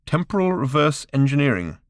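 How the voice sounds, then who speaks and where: A man voicing an impatient, self-regarding male alien traveller with a brisk, clipped manner. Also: fairly high pitch, sing-song, no echo, studio quality, pitched above the performer's own voice. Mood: urgent.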